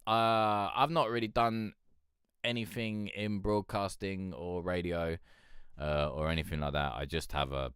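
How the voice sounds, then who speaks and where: A man with a deep voice hosting a music review show, talking frankly and loosely, swearing and rambling with lots of fillers. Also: steady tone, a little slow, a bit bright, clear, resonant tone, no room sound, clean studio sound. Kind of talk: casual chat reviewing submitted songs.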